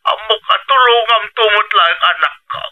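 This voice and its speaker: A high-voiced Ilocano father on telephone. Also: moderate pace. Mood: pleading, coaxing. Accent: Filipino, Ilocano. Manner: apologetic, coaxing. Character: older pleading Filipino father asking his daughter favours. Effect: telephone filter. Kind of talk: Ilocano radio drama acting. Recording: dull, band-limited phone-call sound.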